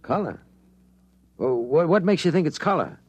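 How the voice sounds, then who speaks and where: A man's voice: a little high, sing-song, moderate pace, breathy edge, no echo. An actor playing a wry, skeptical male reporter who also narrates, in a 1950s radio drama.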